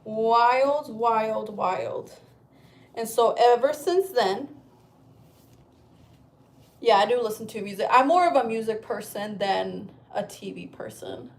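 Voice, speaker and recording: A woman's voice: a little high, takes it slowly, pauses often. A woman in her thirties, from Chile, chatting casually on a livestream from home, rambling and swearing freely. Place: at home, indoors.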